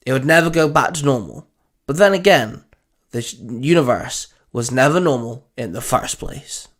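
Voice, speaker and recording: A man's voice: medium pitch, expressive, normal speed, fairly bright tone. A man reading scripted fan fiction narration as the storyteller.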